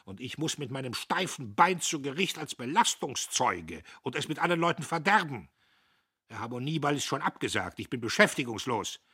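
A man voicing a gruff, bullying retired army major who barks and thunders. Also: medium pitch, very animated, normal speed, pushed and bright, no room sound, studio quality, pitched above the performer's own voice, heavily acted. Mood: angry. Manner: barking, thundering.

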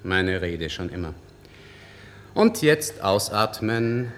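An actor playing a jovial, brisk clinic doctor in a German radio play, giving instructions with breezy authority. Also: male voice, low voice, big pitch swings, full character voice. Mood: jovial, commanding. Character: breezy, bossy, joking male clinic doctor. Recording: faint background noise.

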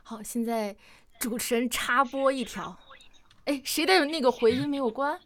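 A woman's voice: high voice, normal speed. A woman hosting an informal live interview as the presenter of an online stream. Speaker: livestream host interviewing voice actors. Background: music.

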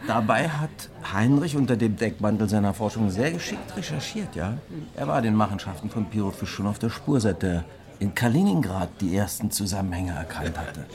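Animated detective, lowish voice. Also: male voice, fairly quick, crowd noise. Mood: reflective. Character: male police detective, teasing, hesitant, easily flustered colleague. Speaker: actor in a radio drama. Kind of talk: German radio crime drama acting.

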